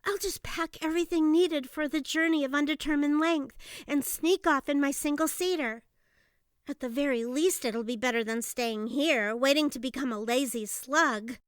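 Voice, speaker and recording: A woman voicing a high-pitched, earnest young princess. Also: normal speed, no room sound, clean studio sound, natural voice. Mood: fed up, determined. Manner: earnest, theatrical, talks to herself. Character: young princess, tender-hearted, spirited, fretting for her father. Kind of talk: audiobook character acting.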